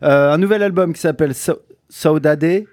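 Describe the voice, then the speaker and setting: A man's voice: on the high side, moderate pace, darkish tone, dry recording, studio quality. A man casually presenting music on the radio, hesitant, with frequent fillers and restarts and an offhand, joking tone. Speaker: radio host.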